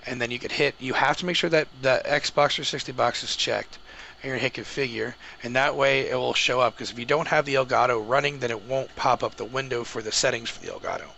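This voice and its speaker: A man talking viewers through a software setup step by step in an informal, explanatory way. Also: medium pitch, quick pace. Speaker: host of a tech tutorial. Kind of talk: casual step-by-step screen tutorial. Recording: clearly noisy home microphone recording.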